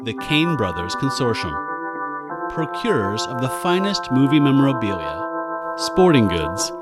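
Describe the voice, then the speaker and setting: An actor playing a formal old-time radio announcer with grand, theatrical delivery. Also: male voice, mid-range voice, sing-song, normal speed, over loud music, lightly acted.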